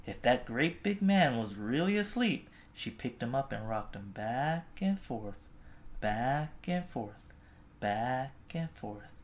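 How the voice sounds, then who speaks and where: A storybook reader telling a children's tale expressively, with gentle, drawn-out phrasing. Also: medium pitch, lively intonation.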